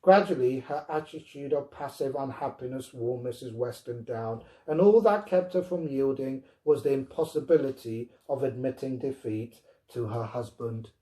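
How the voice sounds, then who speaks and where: A man narrating a story aloud in a deliberate, dramatic, expressive way. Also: medium pitch, rough recording.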